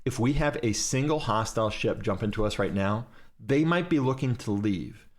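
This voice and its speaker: A man with a fairly low voice runs a class inside a live game. He coaches his fleet step by step and tells them exactly where to go and what to click. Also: animated, moderate pace. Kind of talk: live instruction over voice chat during a game.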